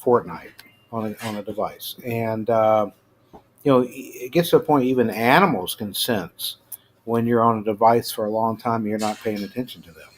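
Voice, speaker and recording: A middle-aged American man giving casual, halting news commentary as a host, informal and prone to trailing off. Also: lowish voice, steady tone. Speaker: host of a personal rant show. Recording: clearly noisy amateur home recording.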